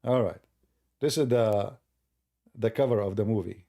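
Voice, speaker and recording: Arabic-native male commentator, mellow tone, rambling and chuckling, addresses listener as brother. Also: lowish voice, unhurried. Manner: loose non-native grammar, chuckles often. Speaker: online commentator. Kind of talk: casual commentary over a video.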